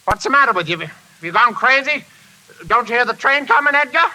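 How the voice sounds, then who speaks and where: A man's voice: very high-pitched, expressive, a little fast, pushed and bright, exaggerated voice, poor audio. An actor playing a bitter, bullying invalid father who scolds and barks orders, in the style of 1940s American radio drama. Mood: panicked, pleading. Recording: hissy vintage radio recording.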